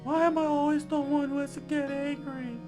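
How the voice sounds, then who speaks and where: A man's voice: extremely high pitch, flat delivery, fairly quick, over music, pitched above the performer's own voice. A man voicing a naive, earnest young female legal assistant from a video game, in a girlish manner. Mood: indignant. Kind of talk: video game character read-aloud.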